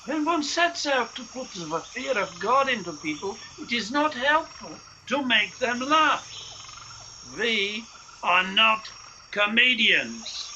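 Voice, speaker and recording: A man playing a sinister, coldly sardonic saboteur boss in a radio play, speaking with deliberate condescension and menace. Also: extremely high pitch, big pitch swings, sharp tone, muffled recording, heavily acted. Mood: scornful. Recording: streamed recording with faint noise.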